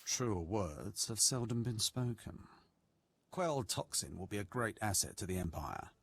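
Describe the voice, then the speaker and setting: An actor playing a sadistic, courteous evil lord in a video game, pompous and savoring every cruel word. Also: male voice, lowish voice, lively intonation, measured pace, vocal fry, husky, dry recording, exaggerated voice. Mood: gloating, exhilarated. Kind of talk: video game villain acting.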